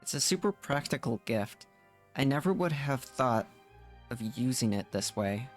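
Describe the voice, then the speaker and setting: Halting man reading game dialogue. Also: medium pitch, animated, faint music behind. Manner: halting, repeats words while reading. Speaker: streamer playing and reading along.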